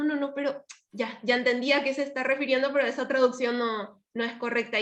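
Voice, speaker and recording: A woman's voice: high voice, fairly bright tone, echoey room. A woman with a Paraguayan Spanish accent speaking casually and expressively as a panelist in a discussion.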